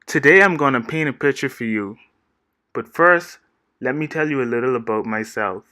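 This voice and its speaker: A man, giving a steady, earnest scripted presentation as a game designer pitching himself. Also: moderate pace, no echo, clean studio sound. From Bahamas. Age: 20s. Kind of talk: read-aloud scripted presentation.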